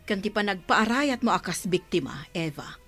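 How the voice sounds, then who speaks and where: A woman's voice: medium pitch, animated, normal speed, a bit bright, soft background music. A woman acting a stern, protective aunt in an audio drama, with a firm, commanding manner. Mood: firm, instructing. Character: stern, protective aunt taking charge against wrongdoers. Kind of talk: Ilocano radio drama acting.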